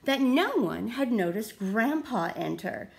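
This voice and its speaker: A woman reads a children's story aloud in a fairly high voice that moves up and down a lot. She takes her time and leans on key words theatrically, checking in with her listeners through questions. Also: lively intonation, gravelly. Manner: deliberate, theatrical emphasis, asks the listeners questions. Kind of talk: expressive picture-book read-aloud for children. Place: small room at home.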